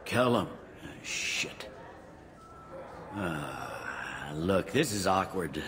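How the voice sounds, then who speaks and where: Low-voiced, weary police captain. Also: male voice, lively intonation, drawn-out words, big-room sound, background noise, exaggerated voice. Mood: awkward, evasive. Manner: plain-spoken, hedging, matter-of-fact. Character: weary, pragmatic middle-aged male police captain. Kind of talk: video game voice acting.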